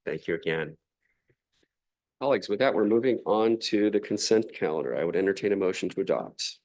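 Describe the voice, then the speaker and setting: A man chairing a city council meeting, running procedure in a measured, slightly halting way while losing his voice. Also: deep voice, animated, darkish tone. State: losing his voice, clearing throat.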